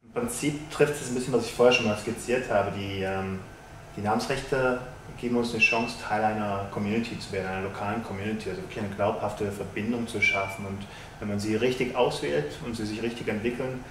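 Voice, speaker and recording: A man in his thirties, a sponsorship executive, giving measured businesslike interview answers with frequent hesitations, in a stadium lounge. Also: lowish voice, steady tone. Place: stadium lounge with glass walls, indoors. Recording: roomy, distant mic, faint hiss.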